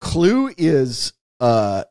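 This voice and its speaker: Animated man giving opinions, dry close sound. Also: mid-range voice, drawn-out words, no room sound. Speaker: podcast co-host. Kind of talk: casual film discussion.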